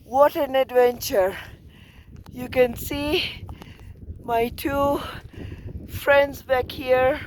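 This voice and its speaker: A hoarse woman narrates her trek to a mountain camera, voice a little high and unhurried. Her words come slow and breathless, filmed outdoors with faint wind noise behind her. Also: rough recording. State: hoarse, lost voice. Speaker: trekker filming her climb. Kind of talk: casual travel vlog narration.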